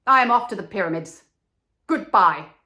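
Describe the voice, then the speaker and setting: A woman's voice: medium pitch, animated, moderate pace, bright, forceful tone. A woman voicing a small, self-assured male swallow in a storybook, with a declarative, self-important manner. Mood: indignant. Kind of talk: storybook character voice.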